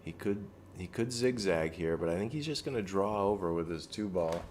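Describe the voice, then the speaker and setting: Pool match commentator, male, very low voice, easygoing shot-by-shot talk, faint hum behind. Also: moderate pace. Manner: relaxed, explains shots, dry joking.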